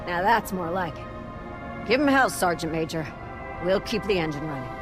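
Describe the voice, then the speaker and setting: A woman's voice: lowish voice, animated, normal speed, over music, lightly acted. A woman playing a battle-weary, no-nonsense lieutenant in a game, speaking in a curt, dry, commanding way. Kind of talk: cinematic game dialogue.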